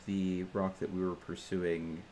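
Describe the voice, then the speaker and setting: A man's voice: sits low, level tone, unhurried, darkish tone, a little hiss or hum. A man playing a tabletop roleplaying game, chatting casually in and out of character with playful banter.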